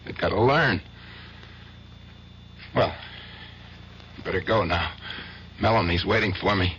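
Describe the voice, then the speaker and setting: A man's voice: sits low, unhurried, fairly bright tone. A man playing a menacing husband who confesses murder in a matter-of-fact way, in a radio drama. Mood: calmly menacing. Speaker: acquitted client. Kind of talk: 1970s radio drama acting. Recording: dull lo-fi radio broadcast with faint hiss.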